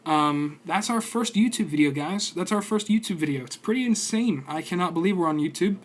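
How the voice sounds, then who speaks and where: A man's voice: high-pitched, lively intonation, brisk. A man in his twenties giving casual, rambling stock commentary on his stream, full of fillers and restarts. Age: 20s.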